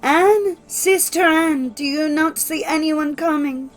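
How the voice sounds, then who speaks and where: A woman's voice: high voice, slow, faint music behind, pitched above the performer's own voice. A woman voicing a desperate young wife in a fairy tale, imploring and dramatic. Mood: desperate pleading. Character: desperate, pleading young wife in mortal fear.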